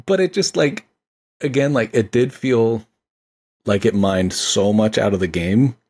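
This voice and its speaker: Podcast guest, man, husky low voice, eager and rambling. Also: a little slow, darkish tone. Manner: enthusiastic, rambling, many fillers like "like". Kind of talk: casual movie discussion.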